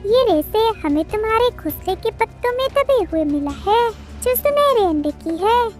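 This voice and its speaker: Woman as an earnest high-voiced young bird detective. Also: expressive, moderate pace, a little mellow. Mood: accusing. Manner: earnest, questioning. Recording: music behind the voice.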